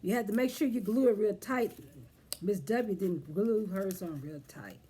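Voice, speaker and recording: A woman's voice: mid-range voice, a little slow, warm tone, breathy edge. A woman hosting storytime for young children, encouraging and sing-song as she leads rhymes and simple activities. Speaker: children's library storytime host. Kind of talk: children's storytime with rhymes, songs and craft talk.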